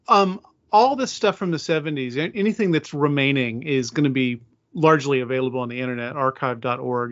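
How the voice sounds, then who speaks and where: A man's voice: mid-range voice, a bit bright, dry recording, highs cut off. A man hosting a podcast in a conversational, opinionated way, speaking emphatically into a close microphone. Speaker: podcast host.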